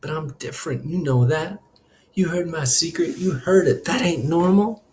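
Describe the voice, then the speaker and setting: A man's voice: fairly high pitch, expressive, normal speed, a little hiss or hum, voice pushed up, lightly acted. A man voicing a distraught, defiant teenage boy in a story, pleading with a strained, breaking voice. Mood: tearful, straining.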